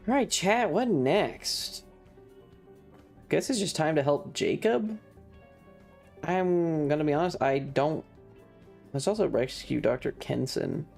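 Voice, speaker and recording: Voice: mid-range voice, creaky, a little mellow. A streamer giving casual live commentary on a video game in an irreverent, sweary, rambling way.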